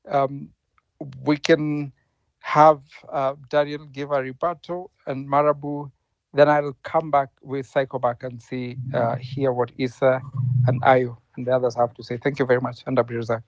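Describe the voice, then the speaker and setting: A man hosting an online panel discussion, earnest and halting, stumbling over his words. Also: mid-range voice, level tone, dry recording, rough recording. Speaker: host.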